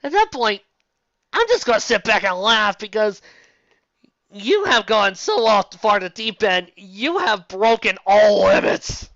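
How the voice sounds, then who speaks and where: A woman's voice: medium pitch, very animated, unhurried, fairly bright tone, no room sound, lo-fi sound. A woman giving casual, unscripted fan fiction commentary, incredulous and exasperated, often stopping to rephrase and asking rhetorical questions.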